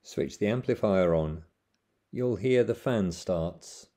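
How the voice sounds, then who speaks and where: A man's voice: sits low, animated, slow, mellow. A man narrating a plain, methodical how-to voice-over, giving step-by-step instructions with the odd small slip.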